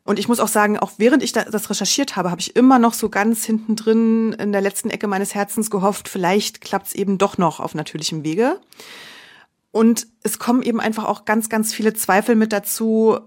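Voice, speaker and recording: A woman's voice: mid-range voice, fairly even intonation, fairly quick. A German woman from Berlin in her forties, speaking candidly and reflectively as an interview guest, often restarting her sentences. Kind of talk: personal interview.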